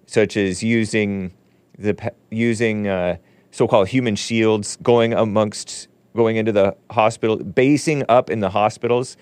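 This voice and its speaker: A man with a lowish, smooth voice hosts a call-in show from a dry, close-miked space. He chats loosely, laughs easily and often trails off into fillers. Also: fairly even intonation, measured pace, darkish tone, no echo. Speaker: show host. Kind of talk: casual talk-show chat.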